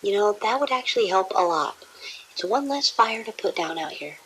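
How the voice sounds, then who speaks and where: Husky, uneasy hospital nurse played by a woman. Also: medium pitch, expressive, moderate pace, fairly bright tone, low-quality recording. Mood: relieved, practical. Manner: hesitant, polite reporting. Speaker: actor in an audio drama. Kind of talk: dramatic audio drama acting.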